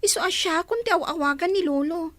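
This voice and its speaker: A woman's voice: extremely high pitch, a little fast, a bit bright, no echo. A woman playing a young granddaughter who speaks politely but sounds impatient. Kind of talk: radio drama acting.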